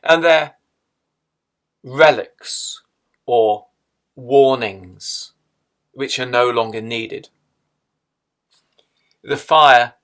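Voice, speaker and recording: A man guides a meditation in a medium-pitched voice. His delivery is slow and measured, broken into short phrases with long pauses between them. He gives instructions step by step, as a teacher of the practice. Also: a bit bright.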